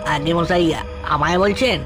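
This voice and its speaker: An actor playing a folksy, doubtful stranger at a bus stand in an animated horror story. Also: male voice, fairly high pitch, measured pace, music in the background, lightly acted. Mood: puzzled.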